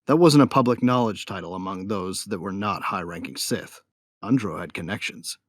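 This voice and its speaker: A man's voice: low-pitched, moderate pace, no echo, studio quality. A man playing a cocky, wry bounty hunter who narrates his own story in an audio drama. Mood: wry, confident. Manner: wry, self-satisfied. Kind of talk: first-person dramatic narration.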